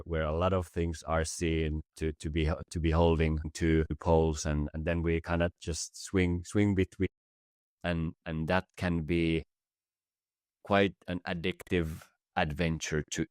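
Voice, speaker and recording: A man from Finland, a native Finnish speaker, reflecting slowly as a podcast guest. His voice is deep and flat in tone, and he stumbles over and repeats words as he searches for ideas. The sound is dry, with no room echo. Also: clean tone. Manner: halting, many word repeats, thoughtful. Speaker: podcast guest, business facilitator. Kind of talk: reflective conversation.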